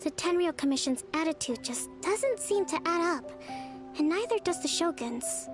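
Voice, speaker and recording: High-voiced, composed young resistance leader. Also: female voice, animated, over music. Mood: grave. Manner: formal, measured, earnest. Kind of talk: scripted video game dialogue.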